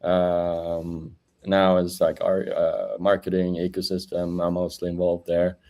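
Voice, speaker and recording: A man, chatting as a guest over a video call in a halting way with many fillers. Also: low voice, monotone, mellow. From Norway. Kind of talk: casual interview chat.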